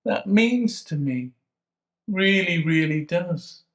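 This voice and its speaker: An actor playing a sly, self-pitying jailed man who swings between hushed confiding and sudden outbursts. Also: sits high, lively intonation, takes it slowly, heavily acted. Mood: unctuous. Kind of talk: dramatic monologue to a visitor. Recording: echoey room.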